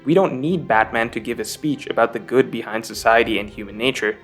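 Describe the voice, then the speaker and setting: A man's voice: medium pitch, fairly quick, fairly bright tone, faint music behind. A man delivering scripted narration for a film analysis video essay as its host, explaining in an articulate way.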